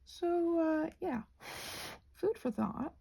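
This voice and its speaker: A woman rambling casually in an ASMR style while crafting, musing aloud and gently reassuring, her soft breathy voice often slipping into a whisper. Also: extremely high pitch, lively intonation, drawn-out words.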